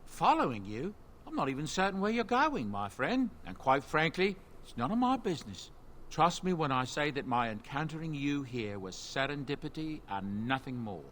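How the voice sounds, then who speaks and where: A man's voice: fairly high pitch, sing-song, moderate pace, fairly bright tone, a little hiss or hum. An actor playing a genial, ingratiating middle-aged dwarf merchant in a fantasy game, with polite, chatty salesman's patter. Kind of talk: fantasy game character acting.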